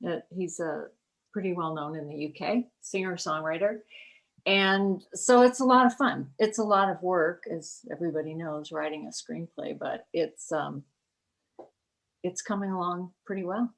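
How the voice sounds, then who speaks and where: A woman's voice: lowish voice, normal speed, vocal fry. A woman in her sixties from the US Midwest, a writer talking casually in an interview over a video call, chatty and laughing between thoughts. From Kansas, US Midwest. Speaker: guest, writer.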